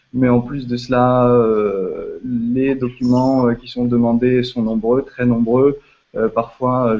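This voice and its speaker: A man in his twenties, from Belgium, asking a question slowly with many fillers in a video chat. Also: medium pitch. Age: 20s. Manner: slow, hesitant, many fillers. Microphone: video call. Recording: dull lo-fi video call audio.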